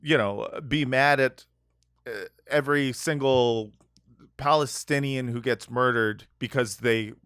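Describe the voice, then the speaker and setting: A man's voice: mid-range voice, fairly even intonation, measured pace, a bit bright, smooth, no room sound, clean studio sound. A man co-hosting a podcast in casual chat, rambling with lots of fillers and restarts and laughing often. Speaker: podcast co-host.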